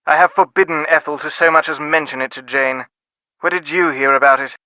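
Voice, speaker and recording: Pompous English gentleman, bright crisp voice. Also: a little high, steady tone, brisk, dry recording. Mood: haughty, puzzled. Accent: British. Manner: pompous, wordy, dryly sardonic. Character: snobbish, dismissive middle-aged English father of aristocratic family. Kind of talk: scripted video game dialogue.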